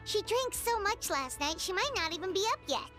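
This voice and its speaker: A little fairy girl from an anime game. The voice is extremely high and squeaky, with lively swings, chirpy and cheeky like a small child. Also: brisk, soft background music, full character voice. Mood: chirpy, curious. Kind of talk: anime video game voice acting.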